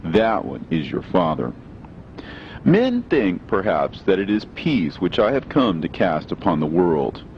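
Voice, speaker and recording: A man's voice: low-pitched, wildly swooping pitch, measured pace, muffled recording, slight background noise. A man reading scripture as scripted audiobook narration, in a solemn, declamatory manner. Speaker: reader of religious scripture.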